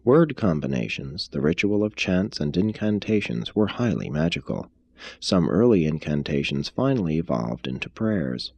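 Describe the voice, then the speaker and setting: A man reading an expository text aloud as a narrator, in a measured, formal manner. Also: very deep voice, very animated, gravelly, darkish tone, no room sound. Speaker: reader, narrator. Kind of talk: scripted audiobook-style reading of an expository text.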